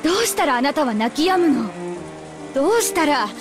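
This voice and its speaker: A woman's voice: sits high, animated, rapid-fire, over music. A woman voicing a weary, strict young mother in a visual novel game, scolding and pleading. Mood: desperate pleading.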